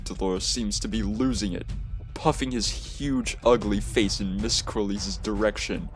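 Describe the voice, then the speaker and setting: A man reading a visual novel game aloud in expressive story narration. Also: lowish voice, a little slow. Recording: music behind the voice. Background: game music.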